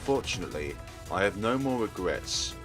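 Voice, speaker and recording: A man's voice: on the low side, lively intonation, moderate pace, music in the background, close to an everyday voice. A man voicing a genial, self-deprecating old man at peace with death, in a visual novel read-through. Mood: cheerful, relieved.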